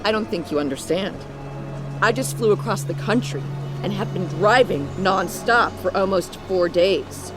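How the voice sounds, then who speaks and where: A woman's voice: medium pitch, moderate pace, music in the background, natural voice. An actress playing a determined young woman, polite but urgently insistent, in an audio drama. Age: young adult. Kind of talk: full-cast audio drama acting.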